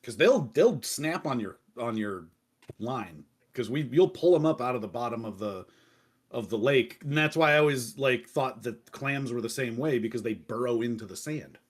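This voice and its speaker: A man's voice: medium pitch, lively intonation, normal speed, husky. A man hosting a podcast in casual chat, joking at his own expense and swearing freely. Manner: jokey, self-deprecating, swearing. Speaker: podcast host.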